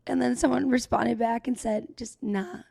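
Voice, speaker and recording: A woman's voice: fairly high pitch, steady tone, normal speed, gravelly, mellow, breathy edge, dry recording. A young woman co-hosting a podcast in casual chat, giving short, dry, wry replies. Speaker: podcast co-host.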